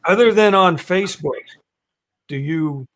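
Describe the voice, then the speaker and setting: A hoarse man hosting a casual live-stream show, rambling a little and reading out viewer comments. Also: sits high, unhurried, a bit bright. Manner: rambling, laughs often, reads viewer comments. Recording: faint background noise.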